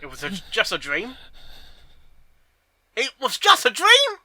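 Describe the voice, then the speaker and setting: A man hosting a reaction video, commenting excitably, with loud announcements and halting, muttered asides. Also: very high voice, dry recording. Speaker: host of a reaction channel.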